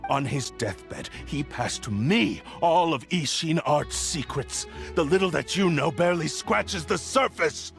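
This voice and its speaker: A gravelly, arrogant cursed blade. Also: male voice, fairly high pitch, lively intonation, measured pace, hardly any pauses, soft background music, heavily acted. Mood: menacing. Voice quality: gravelly, menacing. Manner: haughty, declaiming threats, swells into shouts. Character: arrogant cursed blade possessing a man's body. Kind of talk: game voice acting. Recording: game soundtrack under voice.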